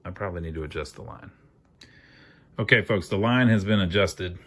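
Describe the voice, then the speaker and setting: Bright-voiced man with a lowish pitch. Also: fairly quick. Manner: folksy, thinks aloud. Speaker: host of an amateur electronics repair channel. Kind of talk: casual hands-on repair narration.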